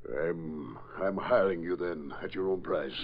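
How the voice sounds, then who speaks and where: An actor playing a scheming, arrogant banker turned traitor, formal and haughty, in a 1940s radio drama. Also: male voice, deep voice, a little slow. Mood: uneasy. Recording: dull old 1940s radio recording.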